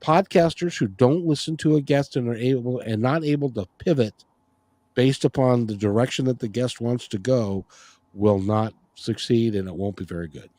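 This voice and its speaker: A man's voice: mid-range voice, normal speed, mellow, no room sound. A middle-aged man hosting a podcast, talking casually and rambling, with frequent restarts. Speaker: podcast and radio show host. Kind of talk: casual interview chat.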